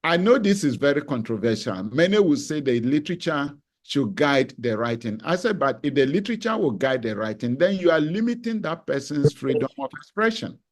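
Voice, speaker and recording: A male professor giving a measured, reflective answer to a question on a panel, pausing now and then as he thinks. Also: a little high, very animated. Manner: deliberate, reflective, some hesitations.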